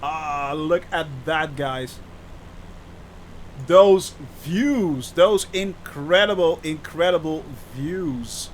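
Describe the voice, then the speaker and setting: Dutch-accented male streamer, high voice, chatty and halting, faint hum underneath. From Netherlands. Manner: chatty, hesitant, many restarts, laughs often. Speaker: flight sim streamer. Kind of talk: casual live-stream chat.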